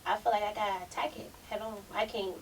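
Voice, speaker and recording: A woman with a squeaky voice, a rapper talking confidently as a podcast guest. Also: fairly even intonation, fast. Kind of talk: casual podcast chat. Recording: distant mic with faint hiss.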